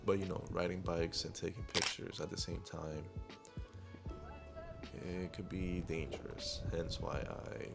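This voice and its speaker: A man with a deep voice narrating his own vlog in a laid-back, rambling voiceover. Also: level tone, a little slow, gravelly, loud music behind the voice. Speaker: vlogger. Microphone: clip-on wireless mic.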